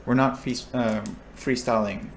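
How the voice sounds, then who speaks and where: A man's voice: medium pitch, fairly even intonation, measured pace, slight background noise. A young man explaining a probability lesson, thinking aloud with restarts and checking in with his listeners. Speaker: recitation instructor. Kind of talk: explaining a lecture topic.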